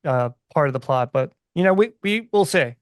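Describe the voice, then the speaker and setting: Slightly higher-voiced man, dry close sound. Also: animated, normal speed, clean tone, no room sound. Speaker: podcast guest. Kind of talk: casual conversational discussion.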